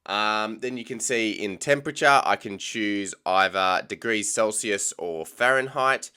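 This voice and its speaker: A young Australian man with an Australian accent and a low voice that sounds bright and crisp. He talks through a screen tutorial at an easy, casual pace, dropping frequent ums. The sound is close and clean, as from a desk mic. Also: measured pace. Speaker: tech tutorial host. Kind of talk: screen-recorded software tutorial.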